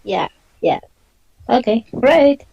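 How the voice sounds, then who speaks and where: A woman's voice: a little high, expressive, drawn-out words, gravelly, warm tone, muffled recording, slight background noise. A woman, teaching an online language lesson and patiently explaining and repeating questions. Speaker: Spanish teacher.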